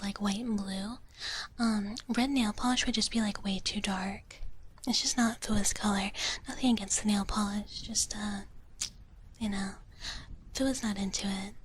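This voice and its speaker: A woman streamer speaking in a soft, breathy whisper in a playful, casual live ASMR style, close to the microphone. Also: mid-range voice. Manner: playful, speaks of herself in third person. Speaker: streamer performing as a dragon-girl character.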